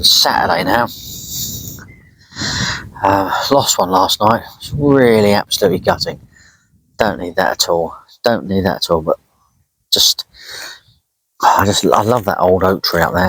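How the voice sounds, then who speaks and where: Male outdoor vlogger, low-pitched, unhurried, rambling casual delivery, dry open-air sound. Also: fairly bright tone, dry recording, rough recording. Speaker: angler vlogging a fishing trip. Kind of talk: casual outdoor vlog commentary. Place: outdoors at a lakeside.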